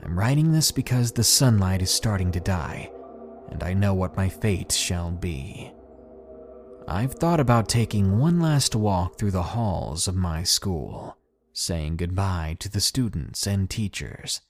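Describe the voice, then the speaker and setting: A man's voice: deep voice, expressive. A man reading as a despairing old school janitor. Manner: slow, hushed, breaking into whispers. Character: despairing male school janitor writing a farewell note. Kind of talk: dramatic horror story reading. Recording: soft music behind.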